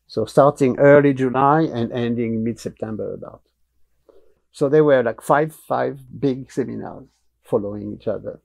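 Gravelly older man with a French accent. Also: medium pitch, moderate pace, poor audio. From France. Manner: blunt, animated, gestures with hands while talking. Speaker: guest, martial arts teacher. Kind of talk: relaxed interview storytelling. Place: small studio room with wooden cabinets, indoors. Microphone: lapel mic.

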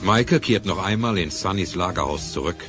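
A man's voice: low voice, animated, fairly quick, fairly bright tone, faint music behind. A man as the composed, slightly wry narrator of a scripted crime adventure radio play.

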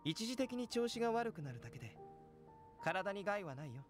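A man playing a calm, courteous young alchemist in an anime game, speaking in a measured, gentle, analytical way. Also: high-pitched. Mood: calm, explanatory. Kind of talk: scripted anime game dialogue. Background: game music.

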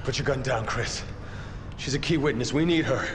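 Man acting as serious action-horror game agent: clipped, grave, resolute, mid-range voice, very noisy rumbling backdrop. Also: moderate pace, close to an everyday voice. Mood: firm, tense. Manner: terse, grave. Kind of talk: dramatic video game cutscene acting. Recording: loud fire and rumble behind.

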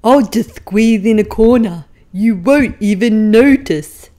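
A woman's voice: medium pitch, takes it slowly, dark tone, natural voice. A woman voicing an eager, naive donkey for a children's picture book, drawn out and playful. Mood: hopeful. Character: eager, naive, scruffy donkey, cheerful friend.

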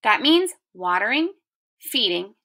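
A woman's voice: a little high, big pitch swings, slow pace, sharp tone, exaggerated voice. A woman voicing a tired, dutiful dad in a children's storybook, with earnest emphasis. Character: tired, apologetic dad and town gardener in a storybook.

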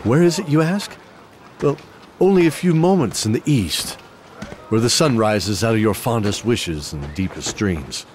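A man's voice: lowish voice, lively intonation, a little slow, a little mellow, a little hiss or hum. A man playing a genial fantasy guide who hosts an audio drama, theatrical and folksy, with hesitant asides. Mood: welcoming. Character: genial male guide sending adventurers off. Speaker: host and storyteller. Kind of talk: in-character fantasy audio drama host narration. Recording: music behind the voice.

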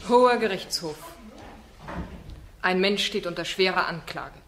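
Sharp, eloquent female defence barrister. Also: lowish voice, lively intonation, a little slow, a crowd in the background. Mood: impassioned pleading. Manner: formal, insistent, rhetorical. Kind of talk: German radio play acting.

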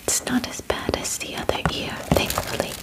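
Young woman playing a whispering, gentle alien examiner. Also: natural voice. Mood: calm, focused. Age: young adult. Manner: soft, slow, formal, soothing phrasing. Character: gentle, clinical female alien examiner, calmly reassuring. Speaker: ASMR roleplay performer. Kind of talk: whispered ASMR roleplay, personal attention. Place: bedroom set, indoors. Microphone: close ASMR microphone.

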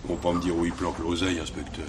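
Slangy low-voiced crook informant, played by a man. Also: flat delivery, rapid-fire, very noisy, close to an everyday voice. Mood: sly, bargaining. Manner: slangy, wheedling. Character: slangy petty-crook police informant, bargaining and sly. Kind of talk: French radio drama acting.

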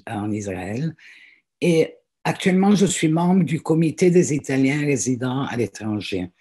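An older woman in her seventies speaks from home over a laptop webcam, her voice husky and a little breathy. She talks in a hesitant way, pausing on fillers and restarting phrases as she goes. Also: extremely low pitch, darkish tone. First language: French. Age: 70s. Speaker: teacher and guest reader. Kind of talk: introducing herself on a video call. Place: small room at home, indoors. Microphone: laptop webcam on a video call.

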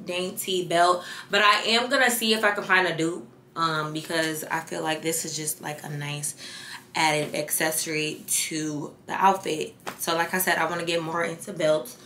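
A young woman in her twenties chatting casually through a clothing haul, lively and loose, dipping into soft asides. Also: fairly low pitch, measured pace, echoey room, a little hiss or hum. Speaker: fashion vlogger. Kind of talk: casual try-on haul chat.

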